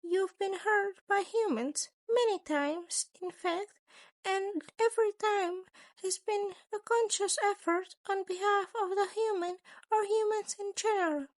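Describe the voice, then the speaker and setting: A woman's voice: very high voice, a little slow, no room sound, voice pushed up. A woman voicing a small male chimera school principal, politely menacing, wordy and theatrical, in an audio story. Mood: smooth, menacing.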